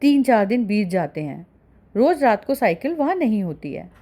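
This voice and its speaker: A woman's voice: mid-range voice, very animated, normal speed, warm tone. A woman narrating a story for children as a storyteller.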